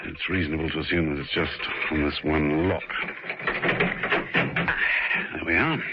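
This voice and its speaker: An actor playing a suave, unflappable gentleman agent in 1960s radio drama style, dry and understated. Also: a bass voice, steady tone, fast talker. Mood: cool. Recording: noisy lo-fi old radio.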